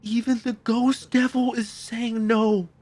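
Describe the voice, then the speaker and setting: A young man reacting out loud to an anime episode, exclaiming and swearing casually into a boom mic in a home streaming room. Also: flat delivery, measured pace. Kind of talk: excited reaction commentary. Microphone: boom mic with headphones. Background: anime soundtrack playing.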